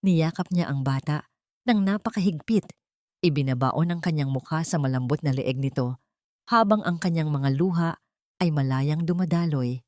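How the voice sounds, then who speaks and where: A woman storyteller giving a measured, dramatic narration of a scripted story. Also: low-pitched, a little mellow, no room sound.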